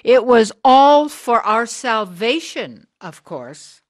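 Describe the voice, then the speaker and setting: A woman acting in a radio drama as a hard, driven woman of sixty who preaches in a deliberate, rhetorical way. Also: fairly high pitch, sing-song, dry recording, clean studio sound. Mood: indignant. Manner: deliberate, rhetorical, emphatic pauses. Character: hard, driven sixty-year-old woman, bitter anti-church preacher.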